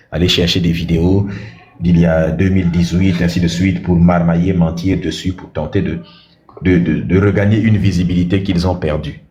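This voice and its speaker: A man's voice: low voice, dark tone, roomy sound, lo-fi sound, a little hiss or hum. A man hosting a livestream, talking bluntly and combatively, with frequent hesitations and repeated words. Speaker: livestream host. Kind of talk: livestream monologue and debate.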